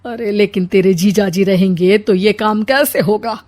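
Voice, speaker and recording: A woman voicing an aggrieved, plotting older mother-in-law in a comic animated story, dramatic and complaining. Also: mid-range voice, normal speed, lightly acted. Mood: conspiring.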